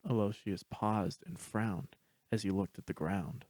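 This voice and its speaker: A man's voice: fairly low pitch, measured pace, a little mellow, no room sound. A man reading scripted story narration as the narrator.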